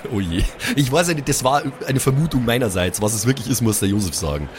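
A man's voice: medium pitch, animated, fast, a little hiss or hum. A man improvising casually as a player in a tabletop role-play, using slang and English loanwords. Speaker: player in an improvised pen-and-paper audio play.

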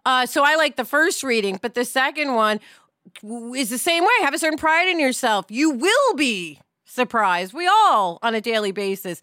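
A woman hosting a casual, reflective discussion in an expressive way, with animated asides. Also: high-pitched, moderate pace, no room sound, clean studio sound. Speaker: podcast host.

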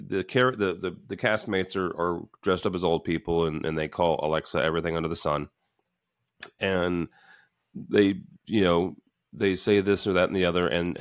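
A man's voice: low voice, dry recording, studio quality. A man with a Midwestern American accent hosting a casual panel talk, rambling with many restarts and fillers. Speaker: fill-in podcast host.

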